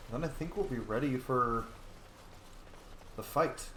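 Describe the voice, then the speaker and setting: A man's voice: mid-range voice, unhurried, bright, forceful tone, reverberant, slight background noise, poor audio. A young man casually commenting on a roleplaying game, joking and reading dialogue choices aloud. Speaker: gamer playing and roleplaying a game. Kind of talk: casual gameplay commentary with in-character lines.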